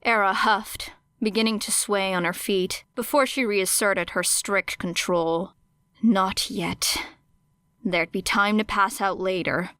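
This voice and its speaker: A woman reading scripted dramatic fiction as an audiobook narrator, measured and expressive. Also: mid-range voice, clear, resonant tone, no echo, studio quality.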